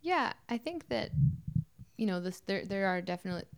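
A musician from Canada answers interview questions, hesitant and full of fillers. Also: female voice, medium pitch, big pitch swings, unhurried, gravelly, smooth.

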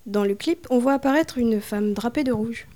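A woman's voice: fairly high pitch, normal speed, mellow. A woman interviewer reads out long, prepared questions in a formal, careful manner.

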